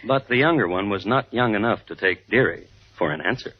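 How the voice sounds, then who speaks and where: An actor playing a droll, morbid gentleman crime connoisseur, narrating with formal deadpan wit in a 1950s radio style. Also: on the low side. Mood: solemn, droll. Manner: deliberate, deadpan, wry, formal. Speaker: host. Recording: muffled 1950s radio broadcast with music.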